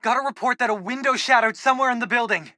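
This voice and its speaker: A man with an American accent voicing a geeky, excitable teenage boy, a loyal superhero's tech sidekick in a video game, talking informally and eagerly. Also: very high-pitched, quick pace, no room sound, clean studio sound, full character voice. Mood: worried, urgent. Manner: informal, eager, nerdy tech talk with quips. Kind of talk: video game voice acting, casual radio-style chatter.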